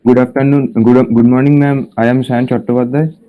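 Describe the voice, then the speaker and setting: A young male student from West Bengal introducing himself, hesitant and flat. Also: mid-range voice, fairly quick. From West Bengal, India. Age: young adult. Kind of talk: self-introduction. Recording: tinny phone-like call line.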